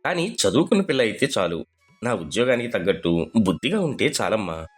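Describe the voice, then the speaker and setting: A man's voice: on the low side, sing-song, fairly quick, slightly rough, faint music behind. A man voicing a mild, kind, dutiful young son and husband in a moral story, speaking earnestly. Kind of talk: Telugu moral story character voice.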